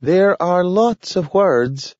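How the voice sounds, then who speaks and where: Eager young talking dog, a man putting it on, way up high, careful odd-sounding words, dull lo-fi audio. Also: lively intonation, slow pace, a little mellow, no echo. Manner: careful pronunciation like a learner, odd intonation. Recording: dull lo-fi recording.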